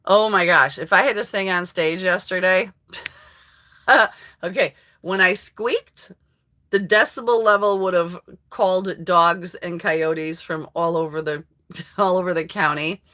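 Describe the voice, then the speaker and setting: A hoarse woman hosting a casual live stream from her country home, chatting to viewers in a rambling way and laughing often. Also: lowish voice, unhurried, bright, forceful tone. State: hoarse from allergies. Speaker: livestream host. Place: rural home.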